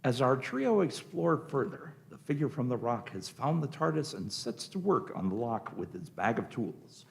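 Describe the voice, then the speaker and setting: A man narrates a radio play from a script. His medium-pitched voice has noticeable fry and moves with very lively intonation. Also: moderate pace, few pauses.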